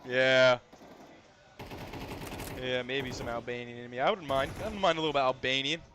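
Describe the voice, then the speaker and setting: A man streaming a game, talking casually with loud, profane, excitable outbursts. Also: medium pitch, normal speed, background noise. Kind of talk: casual live gameplay commentary.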